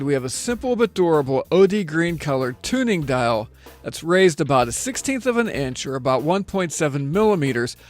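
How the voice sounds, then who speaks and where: A middle-aged American man with a slightly high voice presents a gear review. He explains things methodically, feature by feature, as scripted narration. Faint music plays behind him.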